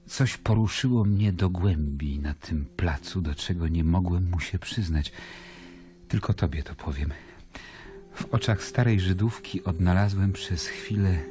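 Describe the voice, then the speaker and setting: An actor playing a grave Roman governor who confides in his brother, in a measured, solemn radio-drama narration. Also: deep voice, mellow. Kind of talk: radio drama, epistolary first-person narration. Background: music.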